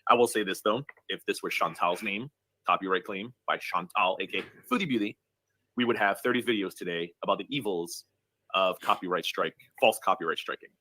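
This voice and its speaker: A man's voice: low-pitched, fairly quick, pushed and bright, low-quality recording. A man giving sarcastic live-stream commentary on online drama.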